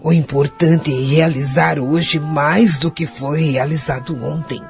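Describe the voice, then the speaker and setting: An actor voicing a calm, devout elderly wife who gently moralises in an audio soap opera. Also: male voice, on the high side, a little slow. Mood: consoling. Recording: lo-fi audio under clear music.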